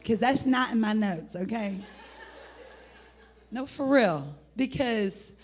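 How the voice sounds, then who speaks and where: A middle-aged woman with an American accent and a husky, gravelly voice, preaching an informal, rambling sermon in an animated, folksy way. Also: fairly high pitch, slow. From United States (Indianapolis, Indiana). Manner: animated, rambling, folksy, breaking into laughter and exclamations. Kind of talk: informal sermon with personal testimony.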